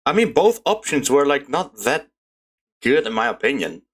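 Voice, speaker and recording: A woman's voice: extremely low pitch, big pitch swings, normal speed, slight background noise. A woman streamer giving casual, hesitant gameplay commentary in a rambling way.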